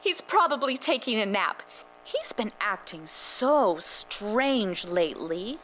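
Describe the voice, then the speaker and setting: A woman's voice: mid-range voice, takes it slowly, low-quality recording. A woman playing a chirpy, oblivious, fussing housewife mother in a stylized horror drama, drawing out her words sweetly. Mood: eerily cheerful. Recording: steady background noise and hum.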